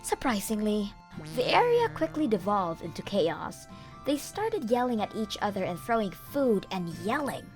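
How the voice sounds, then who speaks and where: A woman's voice: mid-range voice, very animated, moderate pace, faint music behind. A woman reading scripted first-person story narration for a manga dub.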